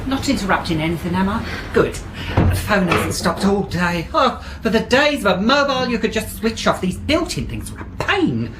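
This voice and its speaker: An actor playing a smooth-talking, pushy male estate agent with breezy sales patter in a radio drama. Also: high voice, big pitch swings, normal speed, background noise. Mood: salesy.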